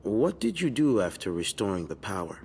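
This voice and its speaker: A man's voice: on the low side, sing-song, normal speed, vocal fry, darkish tone, slight background noise. A man playing a skeptical police detective in a video game, questioning pointedly and methodically. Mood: suspicious, probing. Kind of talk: naturalistic video game acting.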